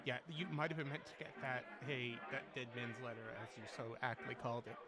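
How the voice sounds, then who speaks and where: A man's voice: medium pitch, brisk, over a noisy crowd. Casual man running a tabletop game. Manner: casual, explanatory. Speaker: game master.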